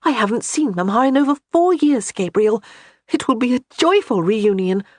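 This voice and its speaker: A woman voicing a flustered young noble wife, rattled and bossy, in a husky voice. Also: animated, normal speed, no echo, clean studio sound. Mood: agitated. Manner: rattled, bossy, high-strung.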